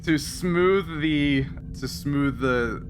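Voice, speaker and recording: A man explaining and narrating a tabletop roleplaying game as game master, in a conversational, halting way. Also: fairly high pitch. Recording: background music under the voice.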